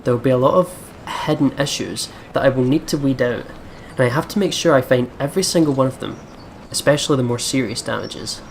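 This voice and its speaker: A man's voice: mid-range voice, brisk. A man hosting a let's play, commenting and reading the story text aloud. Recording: clearly noisy background.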